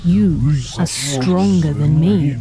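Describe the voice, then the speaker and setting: An ancient, kindly mountain from a storybook, voiced by a woman. It speaks gravely and very slowly in a lowish register, with music clearly behind. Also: full character voice. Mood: grave, tender. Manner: slow, weighty. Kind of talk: storybook character dialogue.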